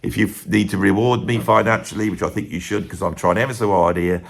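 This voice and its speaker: A tired man with a croaky voice, hosting a music video and thinking aloud in a rambling, casual monologue to camera. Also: sits low, fast talker.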